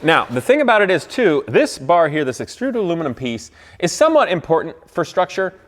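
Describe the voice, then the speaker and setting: Animated man in a reverberant workshop. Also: fairly high pitch, brisk. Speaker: host of a car-building video. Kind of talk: casual explanatory talk to camera and camera operator. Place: car workshop. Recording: echoey hall-like workshop reverb.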